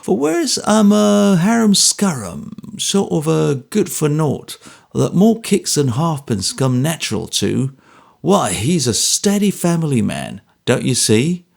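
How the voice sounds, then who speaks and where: A man's voice: fairly high pitch, big pitch swings, measured pace, gravelly, darkish tone, pitched above the performer's own voice, full character voice. A man voicing a hearty, easygoing former soldier with a bluff, offhand, earnest manner.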